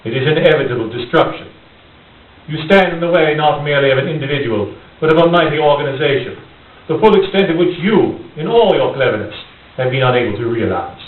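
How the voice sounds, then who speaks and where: A man acting a menacing, courteous criminal mastermind in radio theater, speaking in a formal, deliberate, coldly polite way. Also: fairly high pitch, lively intonation, close to an everyday voice. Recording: tinny, hissy, roomy, distant microphone.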